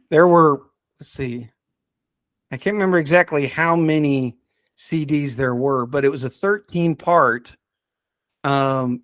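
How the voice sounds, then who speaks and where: A man hosting a casual podcast, talking enthusiastically but haltingly as he searches for names and details. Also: medium pitch, no room sound, clean studio sound. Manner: enthusiastic about the subject. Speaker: podcast host.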